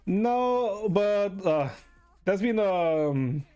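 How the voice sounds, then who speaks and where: Mid-pitched rambling streamer with music behind. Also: lively intonation, extremely slow, dark tone, clean tone. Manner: hesitant, meandering, often checking with "right?"; casual swearing. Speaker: live streamer. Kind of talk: casual rambling stream chat.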